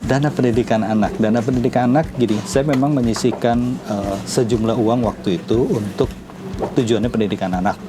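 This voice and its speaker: A man's voice: medium pitch, a little fast, background noise. A man in his fifties giving casual interview answers, repeating phrases as he gathers his thoughts. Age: 50s. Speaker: interviewee, father of two.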